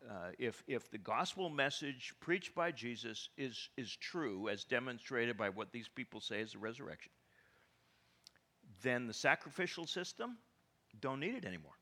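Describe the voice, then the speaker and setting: A man preaching a sermon, animated and full of stammering restarts and rhetorical questions to the congregation. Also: mid-range voice, moderate pace. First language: English.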